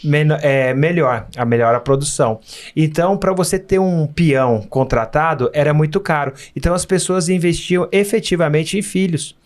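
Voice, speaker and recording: A man's voice: a little high, moderate pace. A Brazilian man from Minas Gerais speaking as a podcast guest, telling personal stories in an earnest, emphatic, conversational way. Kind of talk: casual interview storytelling.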